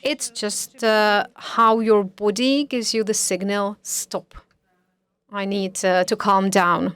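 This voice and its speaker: Woman interpreter, slow careful delivery, pauses with fillers, panel discussion. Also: medium pitch, steady tone, a bit bright, smooth, no echo. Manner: unhurried, hesitant with fillers. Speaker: conference interpreter. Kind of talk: simultaneous interpretation at a congress.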